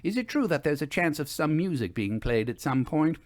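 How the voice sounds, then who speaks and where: A man's voice: on the high side, big pitch swings, fairly quick. A man voicing a bold, witty woman investigator who banters in an arch, playfully challenging way. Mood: arch, teasing.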